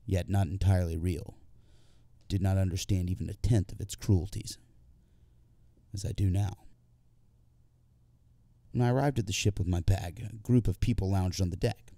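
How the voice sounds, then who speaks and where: A man's voice: low voice, steady tone, moderate pace, vocal fry, long pauses, mellow, no room sound. A man reading a scripted first-person literary story as an audiobook narrator.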